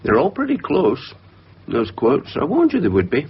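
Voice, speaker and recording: A man's voice: on the high side, wildly swooping pitch, moderate pace. An actor in a radio drama playing a hesitant, guarded insurance salesman who keeps his feelings private. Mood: guarded, sad. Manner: hesitant, evasive. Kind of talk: 1990s radio drama acting. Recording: muffled, noisy radio drama recording.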